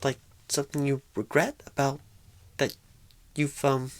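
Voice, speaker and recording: Casting: female performer as bashful rookie recruiter for ASMR roleplay; low, slow, creaky, awkward and stammering. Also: little pitch movement, a little hiss or hum. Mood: nervous, hesitant. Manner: halting, stammering, apologetic, awkward. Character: painfully shy, nervous, inexperienced job recruiter on first day. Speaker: job interviewer. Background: computer keyboard typing.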